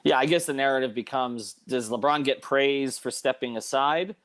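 A man's voice: mid-range voice, moderate pace, a bit bright. Questioning man hosting sports talk. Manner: questioning, thinking aloud in stepped rhetorical questions. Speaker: sports podcast host. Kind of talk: casual sports debate.

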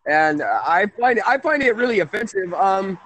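A man's voice: sits high, big pitch swings, normal speed, crowd noise, rough recording. A man in his thirties hosting a casual call-in radio talk show, rambling and correcting himself. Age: 30s. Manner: rambling, chuckling, self-correcting.

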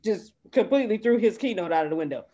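A woman on a group video call tells a story in a chatty, slightly halting way. Her voice sits at an ordinary mid pitch, and she speaks through a computer mic on the call. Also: lively intonation, dark tone, highs cut off. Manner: chatty, halting, laughs while recounting. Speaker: meeting attendee.

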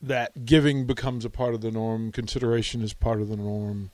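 A man's voice: mid-range voice, flat delivery, a little fast, a little mellow, no echo. A man hosting a radio show in casual chat, often repeating phrases for emphasis. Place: radio studio.

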